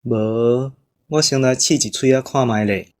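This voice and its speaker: A man's voice: medium pitch, a little slow, a little mellow, pitched above the performer's own voice, lightly acted. A man voicing a sentimental old farmer grandfather with a Taiwanese accent and a simple, heartfelt manner in an audio drama. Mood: cautious. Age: older.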